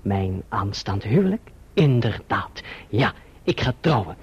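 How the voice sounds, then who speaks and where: An actor playing a courteous, earnest young gentleman in love in a radio drama, who also narrates reflectively. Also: lowish voice, a little slow, slight background noise. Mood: reflective, lyrical. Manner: polite, earnest, expressive. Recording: muffled, dull old radio recording.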